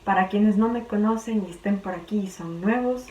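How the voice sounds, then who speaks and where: A young woman from Ecuador with a Quito accent, talking chattily in a personal vlog and sometimes pausing to search for words. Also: medium pitch, a little mellow, dull audio. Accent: Quito Ecuadorian Spanish. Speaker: vlogger. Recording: roomy, distant, faint background hum.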